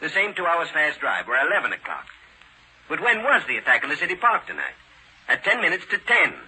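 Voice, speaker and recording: An actor playing an older American police inspector, gruff, excitable and emphatic, in 1940s radio drama style. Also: male voice, a little high, fairly quick, full character voice. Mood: baffled, insistent. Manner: barking, emphatic. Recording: noisy 1940s radio recording.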